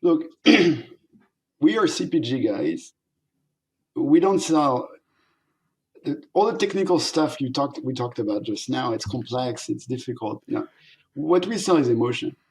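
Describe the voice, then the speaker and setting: A man's voice: mid-range voice, a little slow, pauses often, rough recording. A middle-aged man from France with a French accent, a company founder talking animatedly in a casual interview and laughing often. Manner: animated, laughs often, acts out quoted voices.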